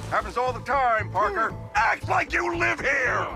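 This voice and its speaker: An actor with an American accent playing a loud, impatient, bullying middle-aged newspaper boss in a video game, barking with contempt. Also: male voice, extremely high pitch, animated, unhurried, creaky, over music, full character voice. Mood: exasperated.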